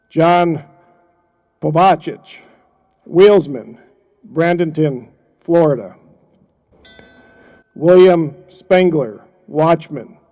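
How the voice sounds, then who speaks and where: A man solemnly reading a roll of names at a memorial ceremony, slow and measured. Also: a little high, darkish tone.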